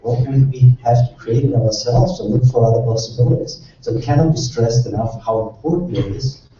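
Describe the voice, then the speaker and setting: Male session chair, earnest and halting, recorded far off in a reverberant hall with faint noise. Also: medium pitch, dark tone. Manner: earnest, hesitant, heavy on fillers. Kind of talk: introducing speakers at a conference. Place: large conference hall. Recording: echoey hall, distant muffled mic, faint noise.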